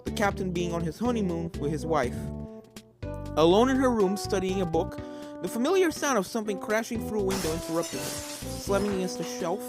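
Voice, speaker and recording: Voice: mid-range voice, lively intonation, brisk. Story narrator over background music. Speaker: fan fiction reader.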